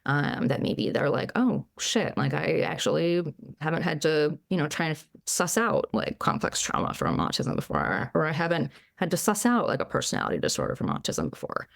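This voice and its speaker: A woman's voice: low voice, very animated, moderate pace, gravelly, no room sound, studio quality. A woman psychologist in her thirties, a podcast guest, talking in a chatty, casual way full of "like" and "you know". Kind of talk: casual interview conversation.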